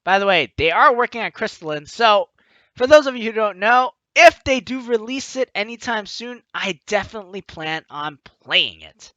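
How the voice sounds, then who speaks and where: A gaming video host doing expressive, effusive, exclamatory let's play commentary over game music. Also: mid-range voice, moderate pace, dry recording, dull audio. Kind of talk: let's play commentary, also reading on-screen text aloud.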